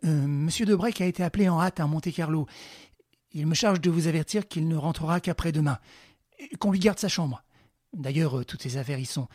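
Man reading gentleman thief, brisk commands, ironic, slightly husky, a touch higher, dry studio sound. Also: no echo, lightly acted. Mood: commanding.

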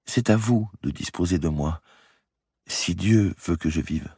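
A man voicing a frail, starving young noblewoman who speaks softly and devotedly. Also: deep voice, measured pace, vocal fry, husky, no echo, studio quality, voice pushed up, heavily acted. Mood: tender.

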